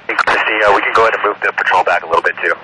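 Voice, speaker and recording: A man's voice: sits low, fairly even intonation, racing. A man working as a police field officer, giving clipped tactical updates over a radio. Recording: noisy police radio scanner transmission.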